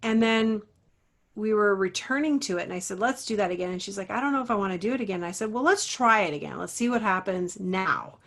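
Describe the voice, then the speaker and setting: A woman's voice: medium pitch, quick pace, a bit bright. A woman voice teacher and coach, an interview guest who talks earnestly and encouragingly, with lots of casual fillers. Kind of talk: interview talk.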